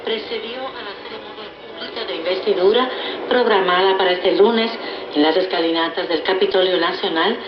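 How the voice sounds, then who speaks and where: A woman reading the news in a brisk, formal manner, heard through a shortwave radio speaker. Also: medium pitch, highs cut off. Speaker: radio newsreader. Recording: shortwave broadcast through a speaker, loud static, roomy.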